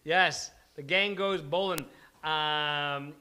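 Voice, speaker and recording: A man hosting a live script-reading event in a casual, halting, scattered way, poking fun at himself and swearing freely. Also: on the high side, sharp tone. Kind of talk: casual unscripted stage introduction. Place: live event venue before a small audience.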